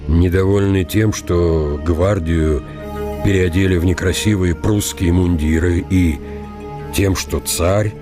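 An actor playing an aging count and veteran commander in a radio drama, brooding and calculating in a deliberate, reflective monologue. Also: male voice, deep voice.

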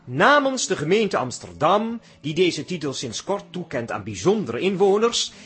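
An actor playing a pompous Dutch store manager in a radio drama, speaking formally as if making a speech. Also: male voice, on the high side, big pitch swings, normal speed, fairly bright tone, slightly rough, exaggerated voice. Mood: pompous, ceremonial. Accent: Dutch, from the Netherlands. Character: pompous, officious middle-aged store manager giving speeches.

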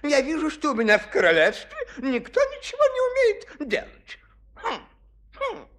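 A man's voice: extremely high pitch, wildly swooping pitch, normal speed, a bit bright, exaggerated voice. An actor playing a vain, pompous fairy-tale king in a children's audio play, blustering and coaxing by turns. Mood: indignant, blustering.

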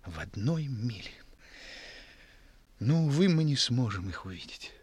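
An actor playing an earnest, wistful schoolteacher, solemn and hesitant, in a 1960s Soviet radio drama. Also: male voice, medium pitch, big pitch swings, measured pace, darkish tone, breathy edge, no echo. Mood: solemn wonder. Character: earnest, wistful village schoolteacher, gentle with children.

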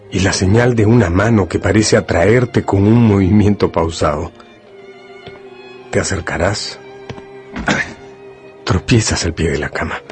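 A man delivering dramatic scripted narration for a radio drama, addressing the listener directly. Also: low-pitched. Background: faint music.

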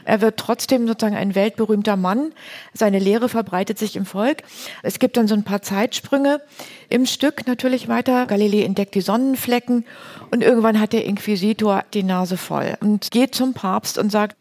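Woman host, chatty plain wording, retells a story n asks questions, average pitch. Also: moderate pace, a little mellow. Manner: colloquial, plain-spoken.

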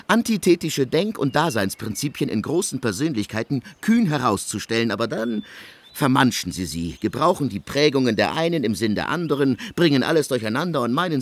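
An actor in a German radio drama playing a haughty, ironic young student who lectures in an emphatic, mocking way. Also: male voice, mid-range voice.

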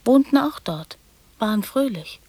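A woman's voice: a little high, lively intonation, unhurried, a little mellow, dry recording. An actress playing a young girl in a radio drama, prattling and teasing in childlike fragments that often drop to whispers. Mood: dreamy recounting.

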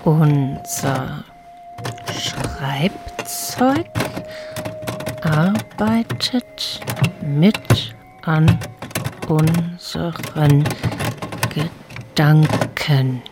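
Solemn, extremely slow reciter. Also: female voice, sits low, full character voice. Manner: halting, deliberate. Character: solemn voice reciting a philosopher's aphorism. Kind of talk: radio drama recitation. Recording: loud noise and mechanical clicks.

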